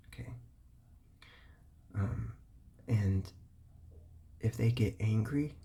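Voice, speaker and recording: Male parent, hushed breathy delivery, low gravelly fry, calm and repetitive. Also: slight background noise. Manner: calm, patient, repeats his points. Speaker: parent giving parenting advice. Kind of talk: whispered advice talk.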